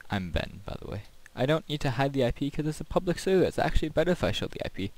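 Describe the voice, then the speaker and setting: A man's voice: a little high, big pitch swings, fast talker, darkish tone, no room sound. A man casually talking through his builds and play as a gaming video host, in a rambling commentary.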